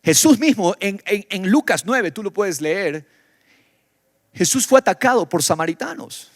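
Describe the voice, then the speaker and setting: A man from Ecuador preaching a sermon in Ecuadorian Spanish, moving between loud exclamations and low, quiet stretches. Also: expressive, measured pace, dry recording, clean studio sound. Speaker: pastor. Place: church.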